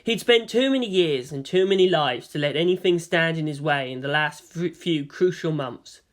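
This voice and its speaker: A man reading a story as narrator in a dramatic, expressive scripted style. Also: a little high, moderate pace. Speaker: narrator of fan fiction.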